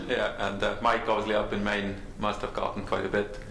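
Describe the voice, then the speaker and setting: Man, company host, halting, self-deprecating, roomy hall. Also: fairly low pitch, gravelly. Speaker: company host introducing guests. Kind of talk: informal introductions. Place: large conference room. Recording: echoey room, faint noise.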